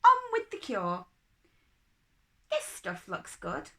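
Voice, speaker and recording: A woman voicing an imperious, boastful little princess in a children's story, with a haughty, brisk, emphatic manner. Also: on the high side, huge pitch swings, vocal fry, a bit bright, full character voice.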